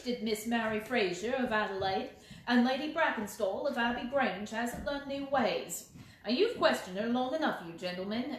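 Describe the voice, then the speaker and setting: A woman's voice: on the high side, echoey room, slight background noise, lightly acted, rough recording. A woman voicing a gaunt, fiercely protective, indignant old lady's maid in a storybook reading.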